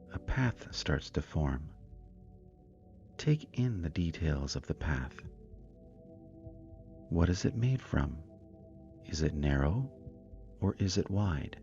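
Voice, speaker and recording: A man narrating a scripted guided meditation in a calm, soothing, deliberate manner. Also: deep voice, creaky, lo-fi sound. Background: meditation music with singing bowls.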